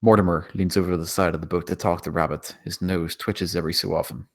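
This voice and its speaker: Very low-voiced man narrating a script. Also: steady tone, quick pace. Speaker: narrator of screenplay table read. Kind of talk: scripted narration of stage directions.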